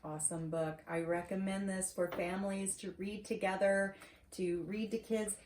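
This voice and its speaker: A middle-aged woman reads a picture book to a young child in a mellow voice. She is encouraging, pausing to ask simple questions and check with a "right?". The room sounds echoey, with faint background noise. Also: mid-range voice, steady tone. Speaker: storyteller reading to grandchild. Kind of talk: children's picture-book read-aloud with chatty asides. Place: wood-shelved home study, indoors. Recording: roomy echo, faint noise, clicks.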